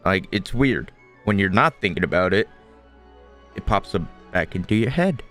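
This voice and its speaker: A man's voice: low-pitched, expressive, moderate pace, fairly bright tone, faint music behind. A man giving casual, laid-back gameplay commentary, musing aloud as he reacts. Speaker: game streamer.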